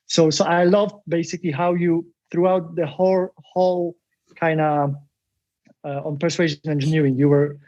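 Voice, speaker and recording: A Polish-accented man hosts the talk in English, his second language, pausing and restarting as he searches for words. His voice sits a little high, and the recording sounds dull and lo-fi. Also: flat delivery, darkish tone. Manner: halting, many restarts and fillers. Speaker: podcast host. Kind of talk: casual interview.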